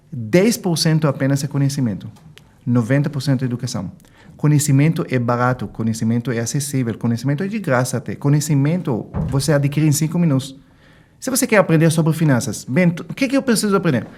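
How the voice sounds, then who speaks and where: A man's voice: fairly high pitch, darkish tone. A man from Israel with an Israeli accent, talking as an interview guest, explaining things emphatically and acting out bits of quoted dialogue. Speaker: guest financial consultant. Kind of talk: explanatory interview talk with anecdotes.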